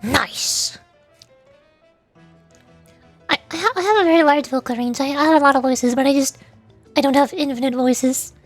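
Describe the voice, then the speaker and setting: A woman's voice: high-pitched, fast talker, soft background music. A woman streamer chatting casually with viewers in a playful, cutesy manner. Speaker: streamer and voice performer. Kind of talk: casual livestream chat, answering viewers.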